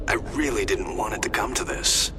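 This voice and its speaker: An actor playing an older, embittered superhero turned smug villain in a video game cutscene. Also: male voice, on the low side, heavy background noise, natural voice. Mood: resigned, wry. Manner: slow, menacing, smug. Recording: loud music behind.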